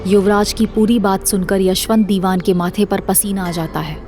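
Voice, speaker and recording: A woman's voice: mid-range voice, steady tone, normal speed, darkish tone, slight background noise. A woman narrating a scripted dramatic story as the narrator of an audio series.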